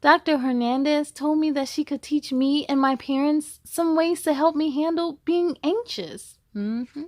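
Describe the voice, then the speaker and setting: High-pitched woman reading to children. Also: measured pace, hardly any pauses, no room sound. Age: adult. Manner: encouraging, addresses listeners directly. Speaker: host of a children's read-aloud show. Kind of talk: storybook read-aloud with chatty asides to young viewers.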